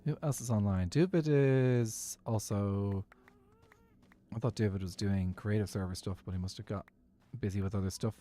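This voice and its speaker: A man's voice: on the low side, moderate pace, warm tone. A man giving easygoing, musing commentary while he plays a game as a streamer. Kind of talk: casual gaming commentary.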